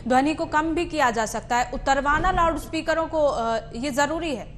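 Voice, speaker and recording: High-pitched woman news anchor, emphatic delivery. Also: normal speed, a bit bright, slight background noise. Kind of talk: scripted news narration and live interview.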